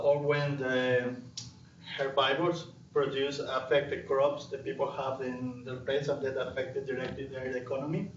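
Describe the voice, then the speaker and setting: A man's voice: medium pitch, slight background noise. A young man from Ecuador with a Latin American Spanish accent gives a conference presentation on his research, hesitant with frequent fillers and restarts. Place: lecture room. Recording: distant mic, roomy hall, dull sound.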